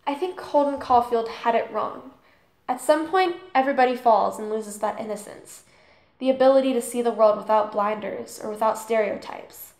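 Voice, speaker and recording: A woman playing an earnest, principled student girl in a scripted drama, explaining things in a measured, preachy way. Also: fairly high pitch, darkish tone, lightly acted. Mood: reflective. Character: earnest, tolerant, principled transgender girl student named Holly. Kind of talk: scripted audio drama dialogue, speech-like monologue.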